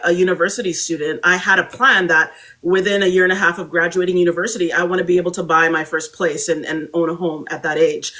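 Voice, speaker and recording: A young adult woman from Barbados, a guest speaker telling her story in an animated, reflective conversational way. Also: lowish voice.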